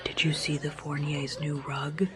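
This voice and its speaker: A woman's voice: deep voice, little pitch movement, unhurried, a crowd in the background. A woman acting an attentive, gossipy wife in audio drama dialogue. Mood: conspiratorial.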